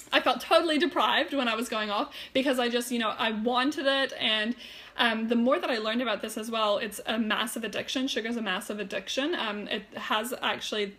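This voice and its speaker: Woman with a slightly high voice, chatty. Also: fairly bright tone. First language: German. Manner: chatty, rambling, frequent fillers and restarts. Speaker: wellness vlogger. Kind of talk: casual live-stream chat to viewers.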